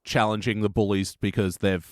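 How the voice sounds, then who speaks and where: A man's voice: lowish voice, level tone, normal speed, dry recording. A man hosts a loose, jokey review podcast. He banters easily with the others in a casual register, and the sound is very dry with hardly any room around his voice. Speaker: podcast host. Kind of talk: casual comedy review chat.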